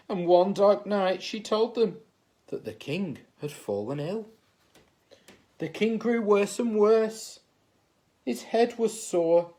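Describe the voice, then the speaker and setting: A man narrates a children's storybook in an expressive teacherly style. His pace is slow and measured, and his pitch rises and falls widely. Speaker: assistant head teacher reading a bedtime story.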